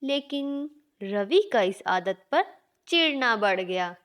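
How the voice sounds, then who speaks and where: Slow-paced woman storyteller with a higher voice. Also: animated, fairly bright tone, clear, resonant tone. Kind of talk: scripted story narration.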